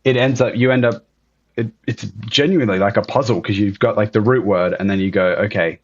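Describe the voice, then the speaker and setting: Podcast host guy, kinda low voice, easygoing chatty vibe. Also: a little fast, dull audio. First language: English. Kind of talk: casual interview chat.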